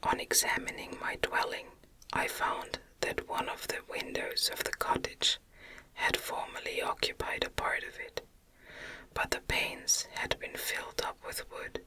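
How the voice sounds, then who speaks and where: A woman reading a gothic novel aloud as ASMR, in a gentle, breathy, unvoiced whisper with careful, unhurried phrasing. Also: low-quality recording. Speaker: audiobook narrator, ASMR reader.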